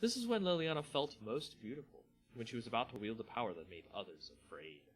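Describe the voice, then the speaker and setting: A man reading a fantasy story aloud as a theatrical, deliberate dramatic narrator. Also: medium pitch, lively intonation, faint music behind, low-quality recording.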